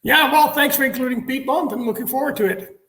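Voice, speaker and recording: A middle-aged man with a Dutch accent, a native Dutch speaker, gives measured, structured answers as an interview guest. His voice sits high for a man and has a lot of vocal fry. The room around him is clearly echoey. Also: bright, forceful tone. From Netherlands. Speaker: interview guest, marketing executive. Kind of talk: explanatory interview talk.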